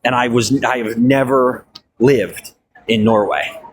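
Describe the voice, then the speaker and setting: A man's voice: medium pitch, steady tone, takes it slowly, slight background noise. An American man with a slight Norwegian-tinged accent, rambling casually with many fillers and restarts. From United States. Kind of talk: casual monologue.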